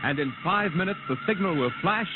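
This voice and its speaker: Give me a man announcing a vintage radio show, portentous, on a lo-fi recording over loud music. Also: sits high, lively intonation. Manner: dramatic, measured, portentous. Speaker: announcer and narrator.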